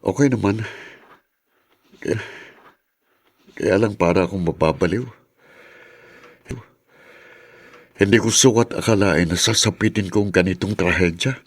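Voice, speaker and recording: A man with a Filipino accent playing an anguished accused mayor in a radio drama, halting and stammering as he protests his innocence. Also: low voice. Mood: dejected. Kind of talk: Tagalog radio drama acting.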